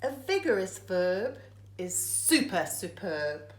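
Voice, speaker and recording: A woman's voice: medium pitch, big pitch swings, slow. A woman reading a rhyming children's picture book aloud like a teacher, phrase by phrase with dramatic emphasis. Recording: echoey room.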